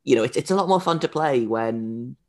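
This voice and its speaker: A male podcast host talking shop about goalies in an easy, relaxed way. His voice has a gravelly, fry-tinged texture and sits at an ordinary pitch. He keeps it conversational, with a few fillers along the way. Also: fairly quick. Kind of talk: casual hockey chat.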